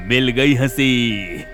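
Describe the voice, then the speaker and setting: An eerie, menacing ghost from a cartoon horror tale. It drags out its taunts very slowly between bouts of cackling. The pitch swings about, with music underneath. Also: male voice, a bit bright, heavily acted. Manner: slow, drawn-out taunting with cackling laughter. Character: eerie ghost lurking in a dark well, cackling. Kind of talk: animated horror folk tale acting.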